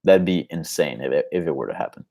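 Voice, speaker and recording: A man's voice: a bass voice, lively intonation, fast, vocal fry, mellow, lo-fi sound. A man from Colombia whose first language is Spanish, hosting a casual, unscripted live Q&A in an informal, conversational way. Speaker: host of an MMA live Q&A show.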